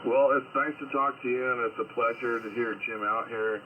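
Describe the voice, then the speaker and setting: A man's voice: medium pitch, steady tone, moderate pace. A man on a ham radio call, asking friendly, curious questions. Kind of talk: casual radio chat. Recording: tinny radio line with noise.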